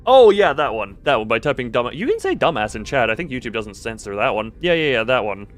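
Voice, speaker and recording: A man's voice: medium pitch, very animated, fast. A man live streaming a game, chatting casually, reading dialogue aloud and breaking off into asides to chat. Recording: game music behind the voice.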